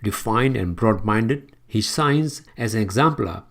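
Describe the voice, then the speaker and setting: A man reading a formal tribute introduction in a measured way, then opening an interview. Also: on the low side, animated, creaky, fairly bright tone.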